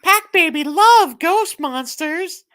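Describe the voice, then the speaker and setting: A man's voice: very high-pitched, expressive, slow, bright, forceful tone, pitched above the performer's own voice, full character voice. A man voicing a cutesy cartoon baby creature in simple baby talk. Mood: gleeful.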